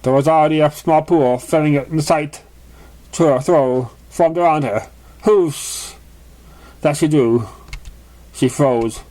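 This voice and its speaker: A man's voice: on the high side, takes it slowly, pauses often, mellow. A man reading a story aloud as an amateur narrator, stumbling over words now and then. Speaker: amateur reader of fan fiction.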